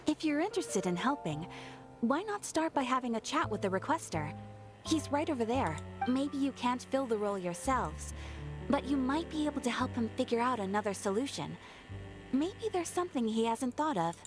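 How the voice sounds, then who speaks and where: A woman's voice: fairly high pitch, big pitch swings, normal speed. A woman playing a helpful, courteous young receptionist in an anime video game. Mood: helpful, encouraging. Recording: music behind the voice.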